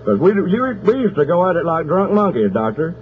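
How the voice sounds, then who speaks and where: Over a tinny, noisy phone line comes a man putting on an angry Southern country husband from Oklahoma. He rambles in a folksy drawl, deadpan and spoiling for a fight, in a slightly high voice. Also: fairly quick. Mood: threatening. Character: aggrieved, belligerent country husband threatening a whooping. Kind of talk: prank phone call.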